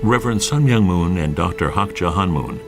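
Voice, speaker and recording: A man's voice: deep voice, animated, normal speed, over loud music. A man reading scripted narration for a documentary.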